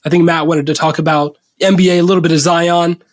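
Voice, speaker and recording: A man's voice: a little high, level tone, fast. A young man hosting a casual sports podcast, upbeat and joking as he runs the show. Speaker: podcast host.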